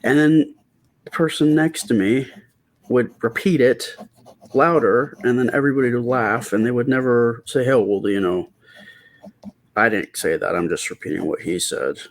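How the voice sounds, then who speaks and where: A middle-aged man talking softly and casually in ASMR style as he draws, with a quiet, hesitant, rambling delivery. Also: mid-range voice, moderate pace. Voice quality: quiet, soft-spoken voice. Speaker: artist and channel host. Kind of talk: casual ASMR storytime while drawing.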